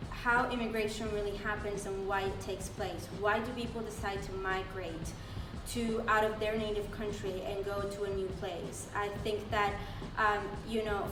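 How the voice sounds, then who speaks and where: A woman in her late twenties from Colombia, answering interview questions earnestly and thoughtfully, with frequent pauses and fillers. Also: mid-range voice, far from the mic. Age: late 20s. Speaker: interview guest, party secretary. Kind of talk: conversational interview. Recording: roomy, off-mic, noisy.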